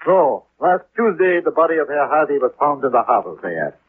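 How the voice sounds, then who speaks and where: An actor playing a methodical German police lieutenant with a German accent and stilted, deliberate phrasing in a 1950s radio drama. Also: male voice, a little high, sing-song. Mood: formal. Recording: old 1950s radio recording, muffled, faint noise.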